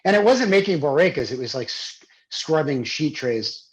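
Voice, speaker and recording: A man's voice: fairly high pitch, expressive, moderate pace, rough recording. An American man, a chef, talking casually and self-deprecatingly on a video call, with many fillers and restarts. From Pittsburgh, United States. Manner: rambling, self-deprecating, filler-heavy talk. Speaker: chef and cookbook author.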